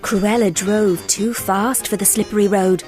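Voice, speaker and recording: A woman reading a children's storybook aloud as narrator, in an expressive, unhurried way. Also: mid-range voice, faint music behind.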